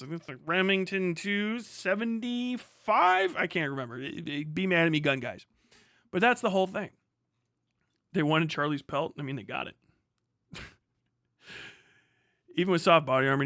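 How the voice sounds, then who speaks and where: Male streamer, forceful bright tone, slightly high pitch, casual commentary, heavy swearing, rambling emphatic explanations. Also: clean tone, no echo, clean studio sound. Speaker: livestream host.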